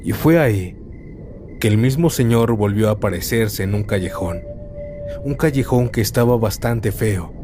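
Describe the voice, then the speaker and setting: A man reading first-person horror stories aloud as a scripted narration, in a calm, steady storytelling manner. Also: fairly low pitch, moderate pace, music in the background.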